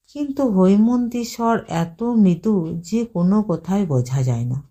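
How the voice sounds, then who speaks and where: A woman narrating a short story in a measured, unhurried storytelling manner. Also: medium pitch, dark tone.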